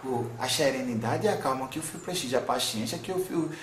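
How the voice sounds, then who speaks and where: A man's voice: medium pitch, fast, roomy sound, a little hiss or hum, lightly acted. A man speaking as a wise, tender old grandmother spirit in a spiritual counselling session, soothing and calling the listener son again and again. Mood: gentle. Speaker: spirit medium.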